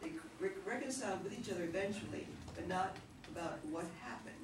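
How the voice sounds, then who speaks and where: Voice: mid-range voice, a little fast. A narrator reading a play's introduction and stage directions from a script. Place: echoey room, mic away from reader. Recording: echoey distant room, clear background noise.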